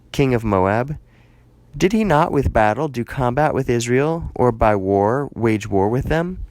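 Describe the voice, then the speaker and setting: A man's voice: mid-range voice, dry recording. A man voicing a stern, solemn Israelite leader in a scripture reading, with emphatic, declamatory phrasing. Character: stern male Israelite leader arguing his case, solemn.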